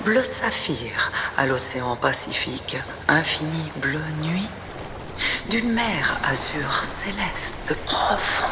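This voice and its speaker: A woman poet reciting her own poem expressively, in measured phrases with breathy, hushed endings. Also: sits low, expressive, noisy background. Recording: long reverb, noticeable background ambience.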